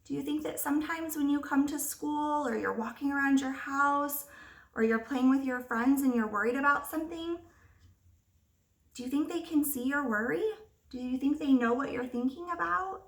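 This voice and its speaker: A woman teacher reading a picture book aloud to young children, expressively, pausing to ask them simple questions. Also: high-pitched. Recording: roomy echo, distant microphone.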